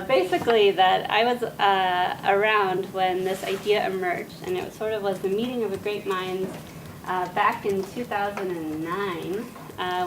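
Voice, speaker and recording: A woman giving an academic talk to an audience, soft-spoken and pausing often with "um". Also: mid-range voice. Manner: soft-spoken, frequent "um" pauses. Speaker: guest speaker. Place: lecture room. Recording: distant mic with noisy room.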